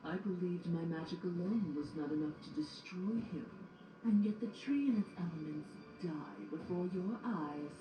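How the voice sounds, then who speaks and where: A woman acting a menacing pony villainess with dark magic in an audio drama, speaking in a grandiose, scornful way. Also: fairly low pitch, moderate pace, lightly acted. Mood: cold menace. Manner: grandiose, scornful theatrical delivery. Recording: roomy, distant playback under music.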